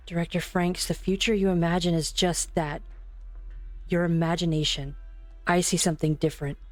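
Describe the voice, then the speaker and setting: Woman acting a principled scientist, grave, quietly angry, game fan dub, dry recording, background music. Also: lowish voice, level tone, moderate pace, no room sound, natural voice. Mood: grave, warning.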